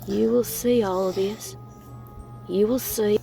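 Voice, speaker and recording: A woman playing a menacing horror-story narrator obsessed with something grotesque, reading in a drawn-out, ominous way over music. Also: mid-range voice, steady tone, creaky, dark tone. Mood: slow, ominous. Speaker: narrator of a horror fan fiction audio reading. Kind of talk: dramatic scripted horror narration.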